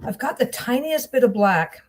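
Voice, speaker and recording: A woman's voice: on the high side, animated, normal speed. Chatty woman rambling while she sews. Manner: chatty, meandering storytelling with frequent restarts and self-interruptions; distracted asides about her sewing. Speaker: vlogger sewing on camera. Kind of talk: rambling casual chat while sewing.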